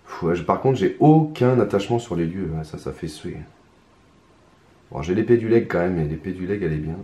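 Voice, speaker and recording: A hoarse man talking his way through a solo card game in hesitant, mumbled commentary. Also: low voice, lively intonation, a little fast, dark tone. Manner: hesitant, mumbling, many restarts, muttering to himself. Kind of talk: casual solo gameplay commentary. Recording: roomy echo, faint ambience.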